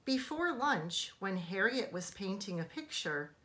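Lady teacher doing storytime for kids, bright clear-cut voice, takes her time, stresses words. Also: mid-range voice. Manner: deliberate, animated, emphatic phrasing for young children. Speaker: teacher reading a bedtime story to families. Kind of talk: expressive children's storybook read-aloud.

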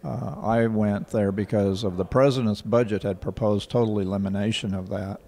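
An older man, answering interview questions in a measured, factual way with frequent pauses. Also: on the low side, fairly even intonation, gravelly, warm tone. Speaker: rural water association director. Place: indoor office in front of a painting. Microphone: handheld station mic.

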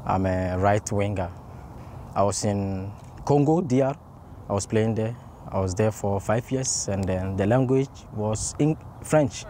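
Casting: young male athlete, lowish voice, outdoor noise behind. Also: a little slow, dark tone. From Ghana. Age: young adult. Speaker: soccer player. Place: outdoors at a stadium.

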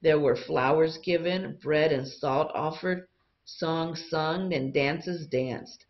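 A woman teacher reading a picture book aloud to young students in an expressive storytelling style. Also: sits low, slow, lo-fi sound.

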